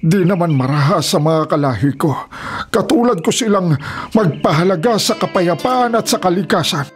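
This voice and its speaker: High-voiced old Filipino forest elder. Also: male voice, animated, normal speed. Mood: earnest concern. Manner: earnest, firm, admonishing. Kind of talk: Filipino radio drama acting.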